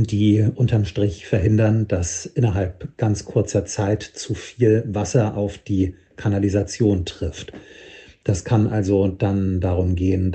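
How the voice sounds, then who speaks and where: A male reporter with a low, very dark and mellow voice explains a topic in a live studio exchange. He pauses often with hesitation fillers as he works through the details.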